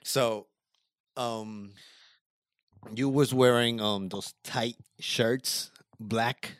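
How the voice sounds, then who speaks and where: A man in casual chat, telling a long story in a halting, rambling way with slang and many fillers. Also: mid-range voice, fairly even intonation, no echo, clean studio sound.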